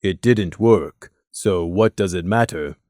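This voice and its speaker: A blunt pony from a storybook, as a narrator puts it on, pitched well down. The delivery is matter-of-fact and dismissive, slow and deliberate at times. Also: a little mellow, dry recording, clean studio sound. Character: blunt, low-voiced pony companion.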